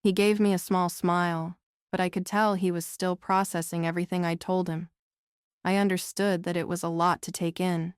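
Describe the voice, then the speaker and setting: Woman for novel narration, lowish and smooth, scripted storytelling read in a dry space. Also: level tone, moderate pace, dry recording. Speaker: audiobook narrator.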